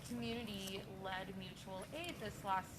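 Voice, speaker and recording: A woman speaks earnestly and in a measured way, at a medium pitch. Loud ambient noise runs through the recording. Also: steady tone. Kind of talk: earnest unscripted public comment.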